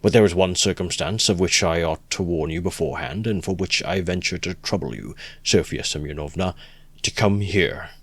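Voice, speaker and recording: A self-important middle-aged gentleman chooses his words carefully and talks down to his listener, as a man reads him. His voice is very deep, and the recording is dry and close. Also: slightly rough, no echo. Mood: measured. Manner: measured, pompous, condescending. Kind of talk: audiobook reading.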